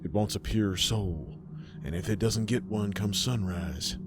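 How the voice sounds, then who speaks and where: A man's voice: fairly low pitch, unhurried, music in the background, close to an everyday voice. Man as a stern, solemn church elder. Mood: solemn firmness. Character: stern, calm elder of a rural church cult.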